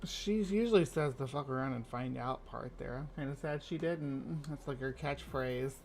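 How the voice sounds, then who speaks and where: A man co-hosting a livestream, with joking asides and quick, chatty interjections. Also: mid-range voice, vocal fry, a little mellow, a little hiss or hum. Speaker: co-host. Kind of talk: livestream commentary.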